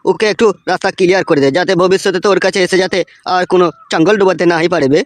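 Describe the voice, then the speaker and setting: A man's voice: high voice, steady tone, very fast, dry recording, lightly acted. A man voicing a cheeky, foul-mouthed village friend in a comic cartoon, with a rural West Bengal accent and an insistent, teasing way of talking. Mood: insistent advising. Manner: crude, swearing, teasing and insistent.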